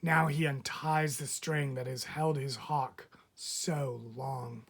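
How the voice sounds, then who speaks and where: A man reading a picture book aloud as a teacher would, expressively, with deliberate dramatic phrasing and frequent pauses. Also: medium pitch, steady tone, gravelly, a bit bright. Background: background music.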